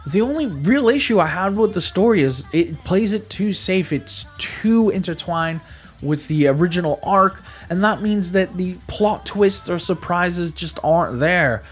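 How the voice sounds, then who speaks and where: Animated male game reviewer with music behind. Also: high-pitched, measured pace, slightly rough. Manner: conversational, emphatic, stammers and repeats words. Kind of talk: casual video game review to camera or voice-over.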